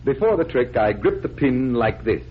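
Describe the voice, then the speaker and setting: An actor playing a confident, genial stage magician in 1940s radio style, with theatrical, deliberate patter. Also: male voice, medium pitch, very animated, natural voice. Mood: explaining. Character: genial male stage magician explaining tricks, showmanlike, confident. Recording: noisy, dull vintage 1940s radio recording.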